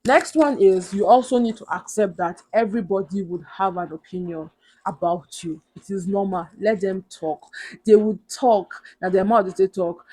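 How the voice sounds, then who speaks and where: Loud-voiced young Nigerian woman giving advice. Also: mid-range voice, moderate pace, low-quality recording. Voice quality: loud, big voice. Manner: earnest, emphatic, repeats points for stress. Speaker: vlogger. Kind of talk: motivational advice talk to camera.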